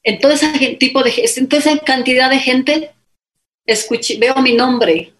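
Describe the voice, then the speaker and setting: A middle-aged woman with an Ecuadorian Spanish accent, a guest telling her story in a casual interview. She talks in an animated way and acts out other people's lines as dialogue. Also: high-pitched, normal speed. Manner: animated, quotes others in dialogue, many fillers.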